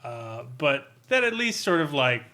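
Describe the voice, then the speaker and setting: Bright-voiced sardonic American man. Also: a little high, big pitch swings, a little slow. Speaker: podcast reviewer. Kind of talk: casual review chat.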